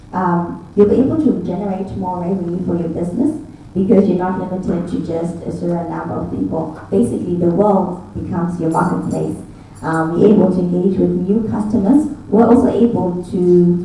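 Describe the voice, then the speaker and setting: A woman's voice: on the low side, warm tone. Echoey, distant Zimbabwean woman presenting. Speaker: conference speaker. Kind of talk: presentation pitch to an audience. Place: indoor event room with tables. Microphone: handheld mic, picked up by far camera. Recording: distant, echoey room with faint noise.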